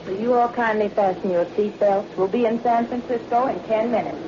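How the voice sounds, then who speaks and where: A woman's voice: fairly high pitch, steady tone, a little fast, close to an everyday voice. A woman playing a courteous airline stewardess making formal cabin announcements in a 1940s radio drama. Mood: polite, announcing. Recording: dull, noisy vintage radio recording.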